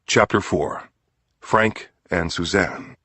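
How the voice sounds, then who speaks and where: A man narrates a crime story in a very low-pitched voice, recorded close and dry. The delivery is scripted audiobook storytelling, with a slightly rough, husky edge. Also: slow, sharp tone, no room sound.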